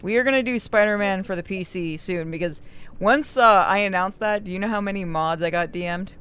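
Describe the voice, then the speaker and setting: A woman streaming a game and chatting casually with friends, blunt and quick to swear. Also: medium pitch, a bit bright, clear, resonant tone. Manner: blunt, profane, gossipy. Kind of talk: casual gaming stream chat.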